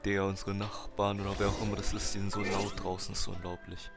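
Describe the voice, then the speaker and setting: A man's voice: deep voice, monotone, fast, gravelly. A seventeen-year-old native German man chatting casually on a game stream, slangy and thinking aloud as he goes. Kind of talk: casual live-stream chat. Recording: loud music under stream voice. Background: loud game music.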